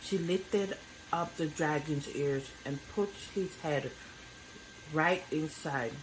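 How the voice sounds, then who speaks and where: A woman reading a children's bedtime story aloud as an expressive narrator. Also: sits low, takes it slowly, noisy background.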